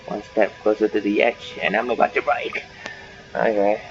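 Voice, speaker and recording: A man hosting a gaming channel, giving casual, halting, rambling playthrough commentary. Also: on the low side, level tone. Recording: dull lo-fi mic. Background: game music.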